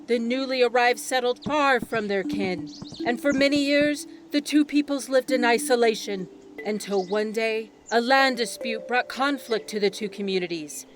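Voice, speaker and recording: A woman playing a devout island priestess in an audio drama, telling sacred history as solemn, reverent, measured oratory. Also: fairly high pitch, noisy background, lightly acted. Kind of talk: ceremonial storytelling in an audio drama.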